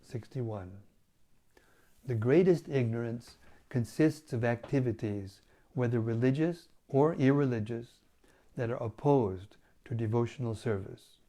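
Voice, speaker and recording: A man with a hoarse, failing voice reading scripture and reflecting on it as a spiritual teacher, in measured devotional tones. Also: mid-range voice, warm tone. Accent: British English. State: losing his voice, hoarse.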